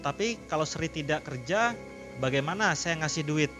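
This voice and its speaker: A man's voice: on the high side, expressive, moderate pace, natural voice. A man voicing a dutiful, resigned young village daughter in a storybook reading, earnestly protesting. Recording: music clearly behind the voice.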